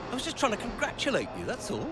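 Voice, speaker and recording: High, swooping, defensive man. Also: wildly swooping pitch, quick pace, background noise, heavily acted. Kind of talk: video game cutscene acting.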